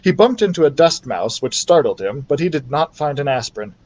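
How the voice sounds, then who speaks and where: A man's voice: on the high side, very animated, brisk, darkish tone. A man narrating a children's storybook expressively, as a library storyteller reading aloud.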